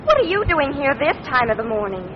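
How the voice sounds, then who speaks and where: Lively, high-voiced teasing young sweetheart. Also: female voice, fairly quick. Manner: coy, sweetly mocking. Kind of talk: 1940s radio comedy acting. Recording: noisy, muffled 1940s radio recording with audience.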